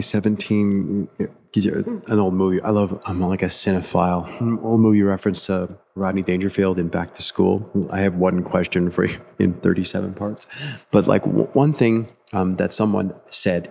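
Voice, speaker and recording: A man's voice: lowish voice, gravelly, darkish tone. A man interviewing a guest casually, stammering and rambling through his questions while getting over the flu. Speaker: podcast host.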